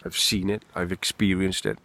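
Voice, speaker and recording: A man's voice: low-pitched, sing-song, normal speed, vocal fry, a bit bright. A Welsh man rambling candidly and swearing freely in an unscripted monologue, recorded outdoors in the wind. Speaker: vlogger.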